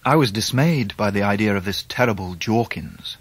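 An actor playing a grown English gentleman narrating his own youth in a measured, literary radio drama style. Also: fairly low pitch, expressive, slightly rough, dry recording. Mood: reflective. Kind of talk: scripted first-person drama narration.

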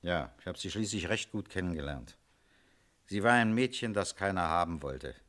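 An actor playing a shrewd, commanding police inspector in a German radio crime drama, questioning in a terse, authoritative way. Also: male voice, low-pitched, expressive, normal speed, a bit bright. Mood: calm, knowing.

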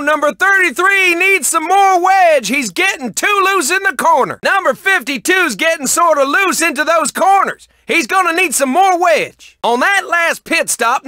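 Excitable, high-pitched cartoon race announcer. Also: male voice, no echo, studio quality, exaggerated voice. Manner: excitable, folksy, showy announcer delivery. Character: excitable folksy male car-race announcer in a cartoon world. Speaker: race commentator. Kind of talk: excited sports commentary for a racing video game.

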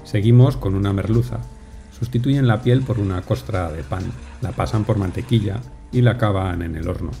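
Mellow-voiced male voice-over narrator. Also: fairly low pitch, gravelly. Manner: measured, unhurried, descriptive. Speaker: food vlogger narrator. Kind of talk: calm scripted voice-over narration. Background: soft music.

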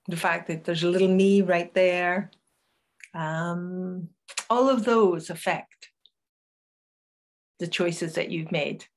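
An older woman with a Northeast Scottish accent, giving a reflective conference talk to a webcam at home and telling stories with pauses. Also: on the low side. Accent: Northeast Scottish, Doric. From northeast Scotland. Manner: storytelling, reflective, unhurried with pauses. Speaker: conference speaker, storyteller. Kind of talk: personal talk to camera. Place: home room with curtains, indoors.

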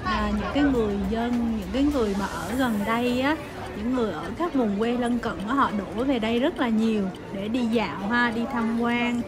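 A woman in her thirties hosts a casual vlog, her voice a little high and full of friendly fillers as she talks straight to the audience. She starts indoors at home, then keeps narrating while walking a crowded market street. Music plays clearly underneath. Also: moderate pace, crowd noise. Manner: chatty, addresses viewers directly with frequent fillers. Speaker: vlogger. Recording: background music over the voice.

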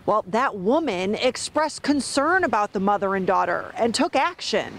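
A woman news reporter giving a measured, somber field report. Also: mid-range voice, lively intonation, fairly bright tone, slight background noise.

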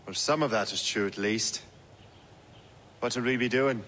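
An actor playing a brash, cheeky young sailor-assassin with an Irish accent in a video game cutscene. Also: male voice, mid-range voice, moderate pace, fairly bright tone, natural voice. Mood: easygoing. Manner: cheeky, defiant. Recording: clear sea and ambience noise behind.

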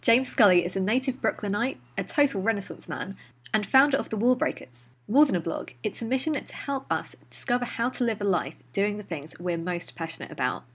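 Woman hosting a podcast, really bright forceful voice, chatty interview style. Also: medium pitch, lively intonation, a little fast, breathy edge, soft background music. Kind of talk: podcast introduction and casual interview.

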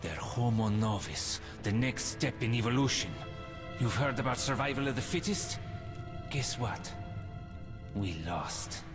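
A weary male commander with a mid-pitched voice , played by an actor, solemn and fatalistic with a bitter edge. It is dramatic video game acting with clear music behind it. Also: expressive, a little slow, lightly acted. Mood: grim, resigned.

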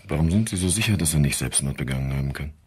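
An actor playing a calm, probing police inspector in a German crime radio play, measured and matter-of-fact. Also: male voice, extremely low pitch, husky, exaggerated voice. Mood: businesslike, probing. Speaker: actor in an audio drama.

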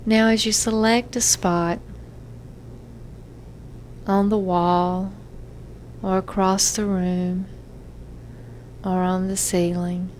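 A woman therapist leading a guided self-hypnosis relaxation session, giving gentle, measured instructions with frequent pauses. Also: mid-range voice, flat delivery, slight background noise.

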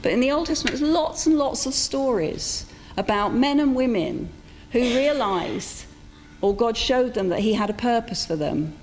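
A middle-aged woman giving an informal, reflective sermon in a conversational storytelling style, with pauses for emphasis. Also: a little high, expressive. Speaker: preacher. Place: church interior, speaking to a congregation. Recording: echoey church hall with background noise.

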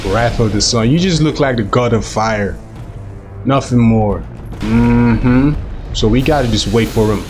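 A young man with a cold, hosting a gaming channel and talking over his gameplay in a chatty, joking way, with a hoarse voice. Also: medium pitch, measured pace, music in the background. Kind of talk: casual gameplay commentary.

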